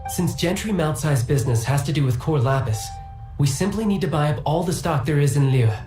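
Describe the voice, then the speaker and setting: A man voicing a polite, formal male merchant guild attendant who speaks in a courteous, measured way. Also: on the high side, expressive, mellow, lightly acted. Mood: explaining. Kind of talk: video game voice acting. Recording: roomy game audio with music.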